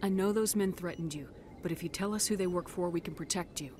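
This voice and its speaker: A woman's voice: lowish voice, quick pace, darkish tone, slight background noise, natural voice. An actress voicing a firm, principled female military commander in a video game, direct and commanding. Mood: firm, composed.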